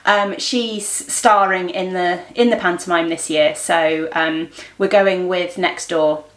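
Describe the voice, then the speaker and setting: A woman's voice: on the low side, fairly even intonation, takes it slowly, fairly bright tone. A middle-aged woman chatting casually to camera in an informal, rambling vlog style, often thinking aloud. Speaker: vlogger and host of a daily family and craft vlog. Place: indoors at home. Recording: roomy, echoey indoor room.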